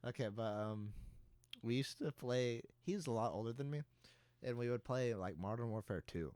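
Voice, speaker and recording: A man's voice: mid-range voice, lively intonation, normal speed, a little mellow, clear, resonant tone, no echo. A young man with a slightly nasal voice, chatting casually and rambling a little as a podcast co-host. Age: young adult. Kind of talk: casual chat between friends.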